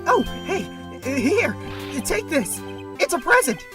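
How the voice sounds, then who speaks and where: A man's voice: very high voice, big pitch swings, slow, far from the mic, music in the background, heavily acted. A man playing a whiny, melodramatic, lovesick young man in an amateur video game fandub. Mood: grateful.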